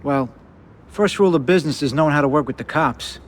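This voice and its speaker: An actor playing a glum, hesitant member of a mob family in a video game. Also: male voice, a little high, quick pace, close to an everyday voice. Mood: subdued. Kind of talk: video game cutscene acting.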